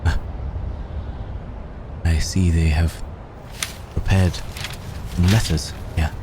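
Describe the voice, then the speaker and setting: A man playing a humble, devoted servant in an ASMR audio roleplay, speaking in halting, deferential, formal phrases. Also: low-pitched, level tone. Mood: subdued, reverent. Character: humble, devoted male servant of an exalted undead ruler. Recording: clear background storm ambience noise.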